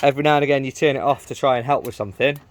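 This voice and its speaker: Croaky-voiced man in a workshop. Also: medium pitch, very fast, a little hiss or hum. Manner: chatty, jokey, asks lots of questions. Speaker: vlogger filming a van build. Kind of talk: casual workshop chat. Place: garage workshop.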